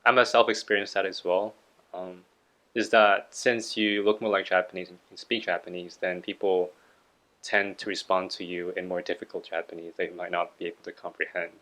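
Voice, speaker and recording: A man hosting an interview, asking questions and sharing views in a measured, reflective way. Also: low-pitched, flat delivery, bright, forceful tone. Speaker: interviewer, channel host. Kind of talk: casual interview conversation.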